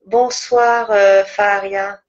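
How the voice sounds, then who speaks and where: A woman's voice: medium pitch, flat delivery, takes it slowly. A woman hosting a podcast, interviewing a guest in a conversational way over a lo-fi online connection. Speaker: podcast host.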